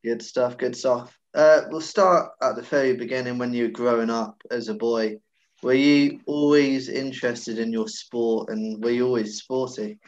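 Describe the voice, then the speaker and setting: A man's voice: medium pitch, fairly even intonation, normal speed, dull audio. A man hosting a sports interview, asking hesitant questions and answering with short affirmations. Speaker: sports podcast host. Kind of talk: interviewer, casual chat.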